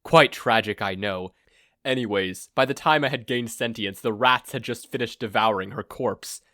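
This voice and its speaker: A man's voice: lowish voice, moderate pace, bright, forceful tone, dry recording, clean studio sound. A man voicing a sinister, self-absorbed male supervillain who monologues in a pompous, theatrical way, in a story reading. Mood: boastful storytelling.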